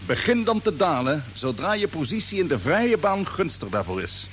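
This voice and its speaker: An actor playing a confident space fleet captain in a radio drama, giving clipped, authoritative orders. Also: male voice, fairly high pitch, sing-song, moderate pace. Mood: commanding, brisk. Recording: old radio play, humming background noise.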